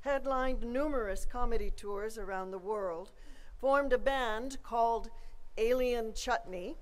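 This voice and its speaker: A woman reads a formal honorary degree citation in a measured, even manner. Her voice is slightly high for a woman. She speaks at an outdoor ceremony. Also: poor audio.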